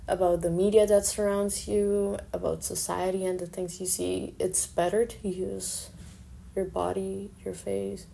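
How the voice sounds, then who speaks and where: A woman's voice: mid-range voice, creaky, a little mellow, a little hiss or hum. A young woman with a Colombian accent, a native Spanish speaker, talking informally and reflectively about herself as an artist. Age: young adult. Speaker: artist and fine arts student. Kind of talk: informal self-introduction monologue.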